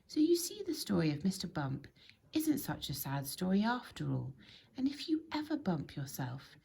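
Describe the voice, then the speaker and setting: A woman reads a children's story aloud in an expressive, emphatic storytelling manner. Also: fairly low pitch, normal speed, creaky, breathy edge. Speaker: storybook reader.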